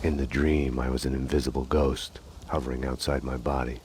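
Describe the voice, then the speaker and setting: An actor playing a world-weary hard-boiled detective, narrating a noir game in a grim deadpan. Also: male voice, a bass voice, a little fast, slight background noise. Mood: deadpan, fatalistic. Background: music.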